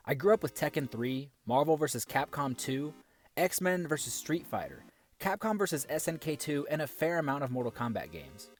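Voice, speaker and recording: A man's voice: medium pitch, a little mellow. Male reviewer, scripted commentary, dry sarcastic asides, bouncy intonation, faint music bed. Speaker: film reviewer.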